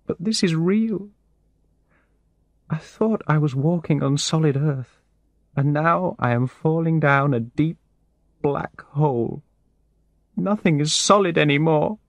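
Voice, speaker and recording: A man's voice: mid-range voice, very animated, a little slow, long pauses, a little mellow, breathy edge, no room sound, pitched above the performer's own voice, full character voice. A man voicing a distraught, weeping middle-aged woman in an audiobook story, with a shaky, emotional, pleading delivery. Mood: tearful, desperate.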